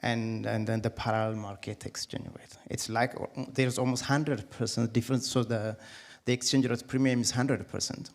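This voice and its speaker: A man from Ethiopia answering as a panelist, measured with repeated restarts. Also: mid-range voice, steady tone.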